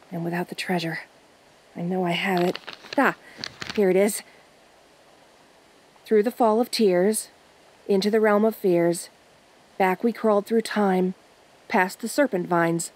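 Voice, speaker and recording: A woman's voice: lowish voice, darkish tone, natural voice, rough recording. An actress playing a determined young woman treasure hunter in an audio drama, talking to herself in a dramatic way. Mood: hushed, ominous. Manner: breathy exclamations, self-coaxing, dramatic. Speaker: audio roleplay voice actor.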